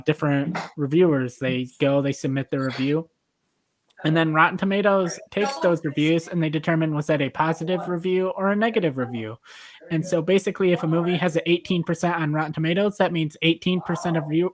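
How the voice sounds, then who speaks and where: Male podcast host, mid-to-higher voice. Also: low-quality recording. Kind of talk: casual explanation and banter.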